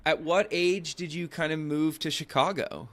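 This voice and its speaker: A man hosting an interview show in a relaxed, conversational way. He fills his questions with "kinda" and answers with short "sure"s and "okays.". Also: a little high, normal speed. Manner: filler-heavy, leans on "kinda" and "sure". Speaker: podcast host.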